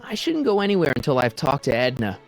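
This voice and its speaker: A man's voice: medium pitch, very animated, quick pace. An actor playing an earnest, anxious young male adventurer in a video game, speaking casually and eagerly with some hesitation. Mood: earnest, reluctant. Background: music.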